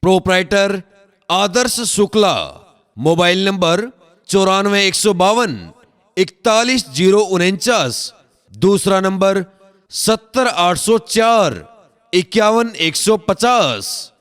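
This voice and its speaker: A man reading a scripted promotional shop announcement in short, emphatic, measured phrases. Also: high voice, expressive, a bit bright, smooth. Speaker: advertisement announcer. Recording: long hall-like reverb on voice.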